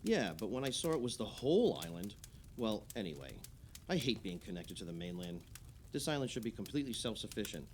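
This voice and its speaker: A man's voice: on the low side, big pitch swings, fairly quick, a little hiss or hum. An actor playing an opinionated, idealistic male islander holding forth emphatically in conversational radio play dialogue. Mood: opinionated, insistent.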